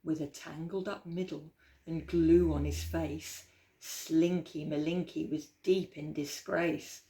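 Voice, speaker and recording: A woman reading a rhyming children's picture book aloud as a storytime host, with expressive, dramatic emphasis. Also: deep voice, slow. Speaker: library storytime reader. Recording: roomy, echoey indoor sound.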